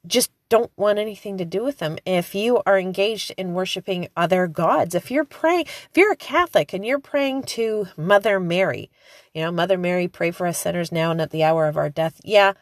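A woman in her forties delivers an informal sermon with chatty fillers. Her pitch leaps high on emphatic calls. The recording is dry and close. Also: animated, moderate pace, dry recording. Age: 40s. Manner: conversational with frequent fillers. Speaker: preacher. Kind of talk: informal preaching.

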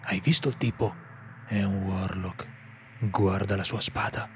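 A man playing a seasoned, solitary monster hunter in an amateur radio drama, speaking gravely and steadily. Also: sits low, steady tone, unhurried, soft background music. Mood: wary. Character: seasoned male monster hunter, brooding loner.

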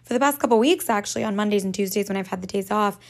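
Chatty woman with a raspy morning voice. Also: medium pitch, rapid-fire. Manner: chatty, rambling, laughs often, swears casually. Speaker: podcast host. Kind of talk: casual solo podcast chat.

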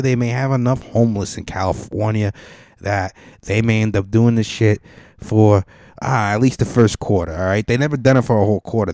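A man's voice: fairly low pitch, a little mellow, dry recording, studio quality, lightly acted. A man voicing a brash male caller with a New York accent, talking in a confiding, conspiratorial way.

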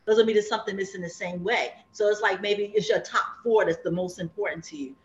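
A woman's voice: medium pitch, steady tone, fairly quick. A woman in her fifties from Savannah, Georgia, talking in a rambling, chatty way in a casual group conversation, often laughing. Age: 50s. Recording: roomy, muffled sound.

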